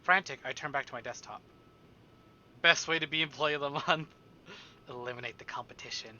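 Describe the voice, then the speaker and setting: A man's voice: medium pitch, a little fast, sharp tone, dull audio, slight background noise. A man livestreaming a visual novel, reading it aloud dramatically and adding casual joking asides.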